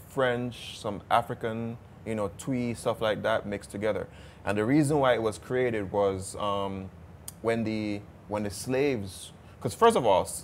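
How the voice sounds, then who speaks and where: A Jamaican man chatting casually as a travel vlogger, relaxed and talkative with some stammered restarts. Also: lowish voice, slight background noise. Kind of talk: casual travel vlog chat.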